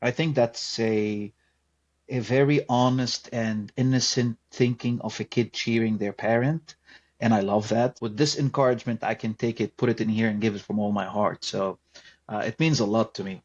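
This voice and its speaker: Man, low voice, dull audio. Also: fairly even intonation. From Lebanon. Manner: reflective, unhurried storyteller. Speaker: podcast guest. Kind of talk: casual interview storytelling.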